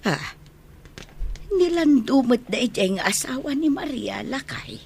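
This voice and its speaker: A woman's voice: high-pitched, animated, a little slow, gravelly, darkish tone, slight background noise, full character voice. A woman playing the caring rural wife of an old herdsman in an Ilocano radio drama. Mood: curious.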